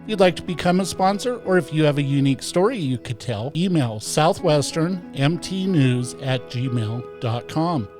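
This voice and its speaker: A man reading the scripted intro narration for a local news series in a steady, even delivery. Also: fairly high pitch, moderate pace, hardly any pauses. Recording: voice-over with faint music behind.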